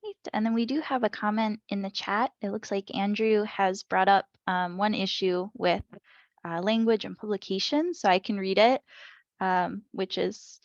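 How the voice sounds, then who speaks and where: A woman moderating a panel discussion, asking polite, measured questions. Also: medium pitch, dry recording. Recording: dull dry audio.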